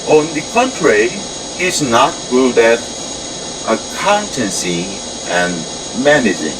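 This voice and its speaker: An actor playing an enthusiastic research team leader in an amateur audio drama, speaking halting, stilted English. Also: mid-range voice, background noise. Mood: chatty. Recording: music under the voice.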